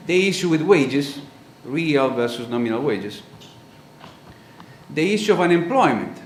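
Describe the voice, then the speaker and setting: A man from Italy with an Italian accent, giving a conference talk on a panel, halting with frequent ums and uhs. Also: fairly high pitch, expressive. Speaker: panel speaker. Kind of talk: conference presentation. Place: lecture auditorium. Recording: roomy hall with faint noise.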